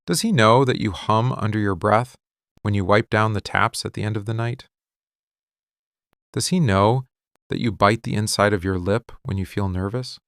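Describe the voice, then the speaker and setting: A man acting a possessive, wounded mafia boss in an intimate boyfriend ASMR roleplay, speaking in controlled, deliberate, intense phrases. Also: on the low side, a bit bright, dry recording, clean studio sound. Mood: tense, sardonic. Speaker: ASMR voice actor.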